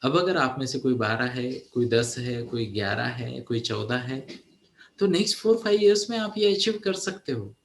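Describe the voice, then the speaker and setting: Brief: forties male mentor, mid pitch, coaxing talk in an echoey room. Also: expressive, measured pace, creaky, a little hiss or hum. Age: 40s. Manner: mixes English phrases into Hindi, asks "है ना?" often. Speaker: teenage mentor and coach. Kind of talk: motivational talk to young listeners.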